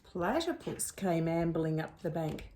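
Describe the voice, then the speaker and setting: A woman reading a picture book to young children, pausing to ask the listeners questions. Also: low-pitched, big pitch swings, dark tone, a little hiss or hum. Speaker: storyteller.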